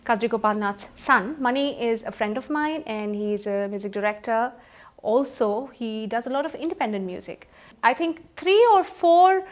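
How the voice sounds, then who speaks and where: A woman, a film playback singer, chatting in an interview about her career and pausing often to recall names. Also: on the high side. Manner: chatty, anecdotal, many pauses to recall names.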